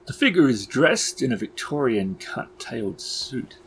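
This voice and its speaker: A man working as game master, narrating scenes in measured, descriptive, literary language. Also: mid-range voice, big pitch swings, a bit bright. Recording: background ambience noise under voice.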